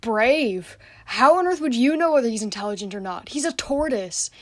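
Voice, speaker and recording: A woman's voice: a little high, lively intonation, normal speed, dry recording. Young woman as aggrieved son, dry close sound. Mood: indignant. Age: teenager or young adult student. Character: aggrieved, jealous overlooked son, Andrew. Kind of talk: student radio play.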